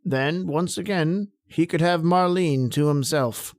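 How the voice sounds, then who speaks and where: A man playing a grave doctor in an old-time radio horror drama, speaking with deliberate, dramatic delivery. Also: fairly high pitch, a little mellow, no echo, studio quality. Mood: grave, revealing. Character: grave male doctor solving a family tragedy.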